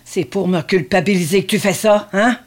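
A woman's voice: medium pitch, moderate pace, lightly acted. A woman playing a needy, sardonic grown son devoted to his mother, in a dramatic script reading. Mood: bitter, pleading.

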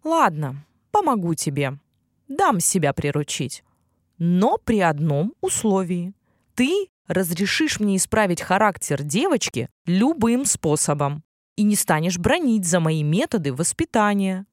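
A wise and stern unicorn from a fairy tale, voiced by a woman storyteller. It speaks formally, like a proud creature used to giving terms, with wide swings in pitch. The sound is dry and free of echo. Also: sing-song, a little mellow. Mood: firm, conditional. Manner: formal, measured, admonishing.

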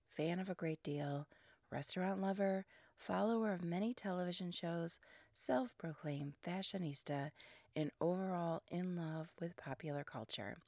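A woman in her grandmother years hosts her podcast alone at dawn, her voice heavily gravelly and froggy as if not yet awake. She sits on the lower side of pitch and rambles casually, filler words between thoughts, some phrases fading into a breathy near-whisper. The sound is dry and close, with no room around it. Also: mellow, no echo. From Chicago suburbs. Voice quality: froggy morning voice. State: not fully awake, early morning. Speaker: podcast host. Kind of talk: casual solo podcast monologue.

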